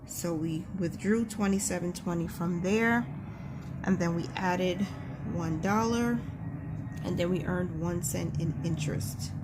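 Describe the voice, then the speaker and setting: A woman's voice: lowish voice, background noise. A woman with a New York accent, chatting to viewers in an upbeat way as she goes through her savings planner at her desk. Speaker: personal finance vlogger. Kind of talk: casual walkthrough of a savings planner. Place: desk at home, hands writing in a planner.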